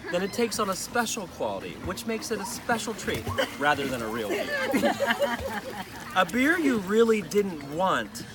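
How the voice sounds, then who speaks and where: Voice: mid-range voice, big pitch swings, moderate pace, over loud music. Someone reading a humorous letter aloud to family, amused and often breaking into laughter. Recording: loud crowd chatter, outdoor family gathering. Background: people chatting and laughing.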